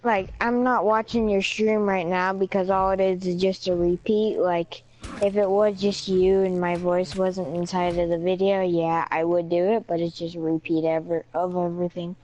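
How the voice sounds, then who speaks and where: A woman's voice: on the low side, flat delivery, moderate pace, a little mellow, a little hiss or hum. A woman chatting casually over an online voice chat party, rambling with lots of "like" and "yeah". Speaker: friend in voice chat. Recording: dull lo-fi voice chat audio.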